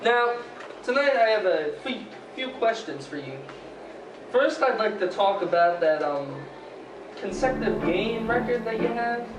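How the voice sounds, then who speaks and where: A young man playing a polite, admiring radio interviewer who asks questions haltingly in a sketch. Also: high-pitched, expressive, noisy background. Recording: roomy distant mic under music. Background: instrumental music.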